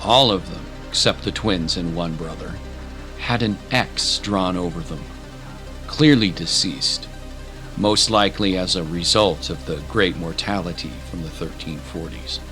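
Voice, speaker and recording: A man reading scripted dramatic narration of a fantasy adventure in a measured, deliberate way with pauses for effect. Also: sits low, music in the background. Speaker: storyteller, narrator.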